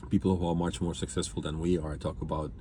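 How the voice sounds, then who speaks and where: Very deep-voiced man, mellow. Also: little pitch movement, quick pace, slight background noise. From Finland. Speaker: interview guest, entrepreneur and business coach. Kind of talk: reflective conversational interview.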